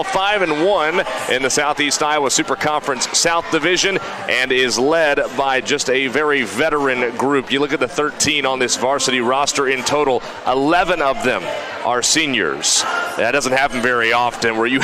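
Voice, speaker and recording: A man doing live radio play-by-play of high school sports in a conversational announcer's style, on a broadcast mic. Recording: band-limited radio broadcast sound, gym or field noise.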